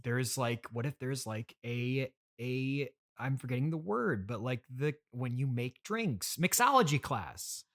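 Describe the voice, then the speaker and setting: A man hosting an improvised comedy interview in casual chat, speaking in a halting, politely reluctant way. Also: mid-range voice, expressive, a bit bright. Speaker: podcast host.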